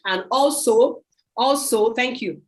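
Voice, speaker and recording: A woman hosting a video call meeting, giving an emphatic, repetitive sales pitch and taking questions. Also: slow pace. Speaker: host of a product sales meeting. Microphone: computer mic on a video call.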